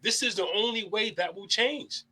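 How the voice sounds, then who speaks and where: A young man hosts a panel debate with a high voice and a bright, forceful edge. He holds forth bluntly and sermonizes with plenty of swearing. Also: normal speed. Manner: blunt, heavy swearing, preachy. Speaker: host.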